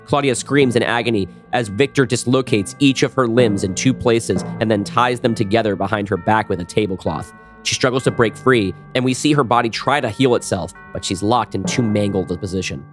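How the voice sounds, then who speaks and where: A man giving scripted narration for an action audio drama. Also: fairly low pitch.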